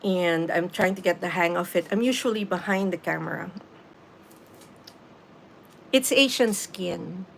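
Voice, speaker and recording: A woman's voice: fairly low pitch, a little slow, vocal fry, long pauses, a little hiss or hum. A Filipino-accented woman in her late fifties, chatting casually with viewers on a live stream, laughing easily and answering the chat. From Philippines. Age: 50s.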